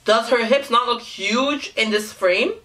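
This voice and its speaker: A woman's voice: a little high, a little slow, bright, forceful tone, poor audio. A young woman in her twenties with a slight Nigerian accent, reacting to a music video from her bedroom with giggly, exclamatory commentary and frequent laughter. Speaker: YouTube reactor. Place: small bedroom, indoors.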